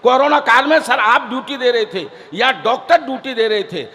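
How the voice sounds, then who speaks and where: Guy hosting a poetry show, really high bright voice, joking with the crowd, big hall echo. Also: normal speed. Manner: bantering, declamatory, teasing the audience. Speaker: stand-up poet and compere at a poetry gathering. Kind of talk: live stage comedy and introductions to an audience. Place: large event stage before an audience. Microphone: stage microphone. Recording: hall reverb from a stage PA.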